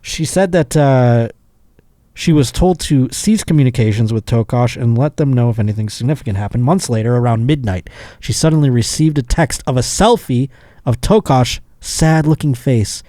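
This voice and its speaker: A male podcast host with a mid-pitched voice, recorded close and dry. He moves between reading news aloud and casual, sardonic riffing, peppered with swearing. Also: normal speed, dark tone, dry recording. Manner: sardonic, riffs with mocking asides and profanity.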